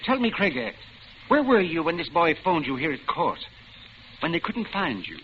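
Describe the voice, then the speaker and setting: Shrewd calm judge, measured, fairly high voice, dull old radio sound. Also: male voice, a little hiss or hum. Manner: measured, hesitant with fillers. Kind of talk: 1940s radio acting.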